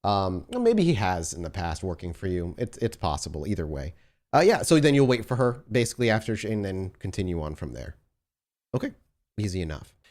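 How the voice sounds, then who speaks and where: A man's voice: sits low, big pitch swings, fairly quick, a little mellow. A man narrating a tabletop roleplaying game as storyteller, conversationally setting scenes and posing choices to the players.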